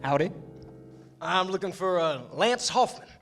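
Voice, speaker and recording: A young man playing a brash, loud sports coach in a staged reading of a school musical. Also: high voice, expressive, measured pace, over music. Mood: fake drawl. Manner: loud, exclamatory outbursts. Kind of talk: amateur staged-reading acting.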